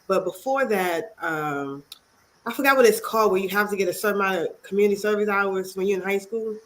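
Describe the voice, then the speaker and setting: A woman's voice: medium pitch, a little hiss or hum. Congested woman guest from Maryland. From Maryland, USA. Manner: earnest, halting, many pauses. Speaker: author and youth advocate guest. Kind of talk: interview talk.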